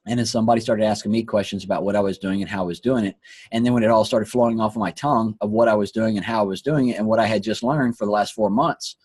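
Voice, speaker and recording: A hoarse man who is losing his voice hosts an earnest, preachy motivational talk and often repeats his phrases. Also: on the low side, fairly even intonation, fast, darkish tone, no echo. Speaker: host. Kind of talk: motivational talk on a live online call.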